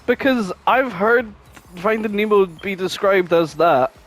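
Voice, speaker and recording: A man's voice: high-pitched, unhurried, a bit bright, poor audio. A man co-hosting a podcast in casual chat, exclaiming and swearing freely. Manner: foul-mouthed, exclamatory. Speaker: podcast co-host.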